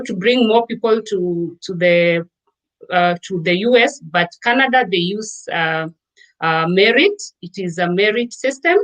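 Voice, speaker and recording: A woman from Kenya, a guest in a casual interview, explaining at length with frequent pauses and fillers. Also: medium pitch, a bit bright, low-quality recording. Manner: explanatory, with many fillers and restarts.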